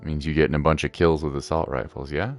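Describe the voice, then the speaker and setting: A man's voice: a bass voice, steady tone, quick pace. A man giving casual, chatty livestream commentary over a game in a playful way. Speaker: game streamer. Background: faint game music.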